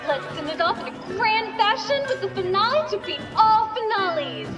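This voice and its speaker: A bubbly anime game mascot girl announcing stuff, super high voice, upbeat, music behind. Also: very animated, normal speed. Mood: cheerful. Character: chirpy young female online game announcer. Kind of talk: anime dub, promotional announcement.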